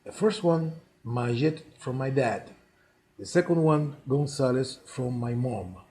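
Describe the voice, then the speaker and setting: A man in his sixties from Cuba, a native Spanish speaker with a Cuban accent, telling his life story in deliberate, halting non-native English. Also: medium pitch, a little mellow, slight background noise. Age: 60s. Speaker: chess player narrating own life story. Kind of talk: autobiographical monologue.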